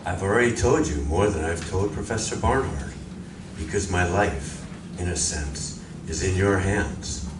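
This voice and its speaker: Man as a deep-voiced, solemn visitor from space. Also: measured pace. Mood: grave, earnest. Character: grave, earnest male spaceman visiting Earth. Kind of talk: live radio drama acting. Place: library community room, live performance. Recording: echoey community room, distant, noisy.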